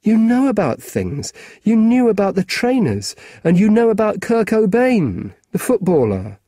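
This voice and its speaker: A man's voice: high-pitched, huge pitch swings, unhurried, warm tone, no room sound, studio quality, voice pushed up, heavily acted. A male narrator voicing a weary, blunt adult man who is impatient with a boy, speaking with exasperation. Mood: exasperated.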